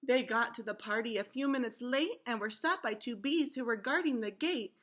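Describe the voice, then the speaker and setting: Casting: female teacher for a children's read-aloud, high-pitched, expressive and clearly instructive. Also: normal speed.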